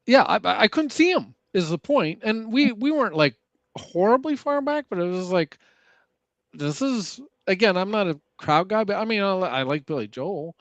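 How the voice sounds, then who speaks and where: Guy chatting, kinda high voice, keeps searching for words making his point, super dry audio. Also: big pitch swings, moderate pace, fairly bright tone, no echo, muffled recording. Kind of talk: casual chat.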